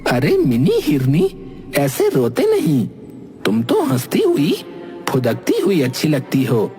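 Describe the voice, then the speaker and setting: An actor voicing a playful, dramatic male talking animal in a Hindi cartoon, with exaggerated, drawn-out expression. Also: mid-range voice, wildly swooping pitch, mellow, breathy edge, soft background music, exaggerated voice. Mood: sympathetic, playful. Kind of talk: Hindi cartoon character dialogue.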